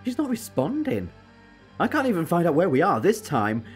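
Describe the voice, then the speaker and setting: An eccentric time traveller acted by a woman in a sci-fi audio drama. Her voice is low and swings widely as she gets exasperated and teasing, with music behind her. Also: wildly swooping pitch, moderate pace. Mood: brisk, puzzled. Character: brisk, eccentric, exasperated time-travelling alien adventurer, female.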